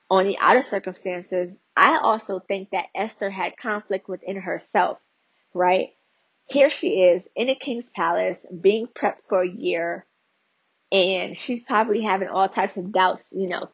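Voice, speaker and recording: Gravelly, husky woman giving devotional teaching. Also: mid-range voice, no room sound, lo-fi sound. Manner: speaks to listeners directly, many "you know" and "right?" asides. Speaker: podcast host and author.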